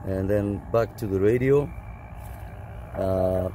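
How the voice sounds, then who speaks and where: A man's voice: lowish voice, slow pace, warm tone, a little hiss or hum. A man who runs a radio channel, talking on CB radio from a parked car and then outdoors, using radio lingo, repeating himself and adding many fillers. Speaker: CB radio operator and vlogger. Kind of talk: casual radio chat and on-camera walkthrough.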